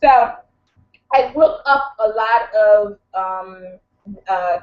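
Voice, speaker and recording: A woman's voice: medium pitch, drawn-out words. A Nigerian-born woman co-hosting a casual chat, rambling and joking as she talks. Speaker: co-host. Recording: echoey room, dull lo-fi sound.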